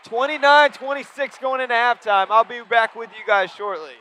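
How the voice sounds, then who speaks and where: A man calling high school basketball play by play, quick and excitable on big plays. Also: a little hiss or hum. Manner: rapid, excitable calls rising on big plays. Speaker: play-by-play announcer.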